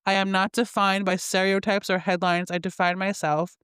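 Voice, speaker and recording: A woman's voice: medium pitch, level tone, normal speed, fairly bright tone, clear, resonant tone, no room sound, clean studio sound. A woman hosting a casual solo podcast from her bed. She reads affirmations aloud in a chatty, self-mocking way and laughs at her own slips. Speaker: podcast host.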